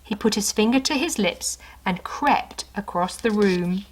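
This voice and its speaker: Female children's story reader, unhurried pace, animated intonation, mid-pitched, talking directly to little listeners. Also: a little hiss or hum, poor audio. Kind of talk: animated storybook narration with chatty asides to children.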